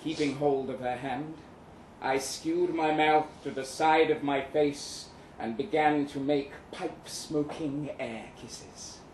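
A man giving an expressive, arch, theatrical reading of a first-person short story at a live event. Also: fairly high pitch, takes it slowly. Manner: expressive, wry, arch delivery. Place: indoor live reading event before an audience. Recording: echoey live room, audible noise.

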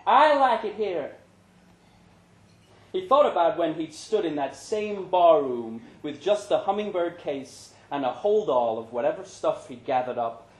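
A male story reader with a slightly high-pitched voice. He narrates fiction in a dramatic, scripted way. The recording has the clear echo of a live indoor room. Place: indoor pub event. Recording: roomy live pub reading.

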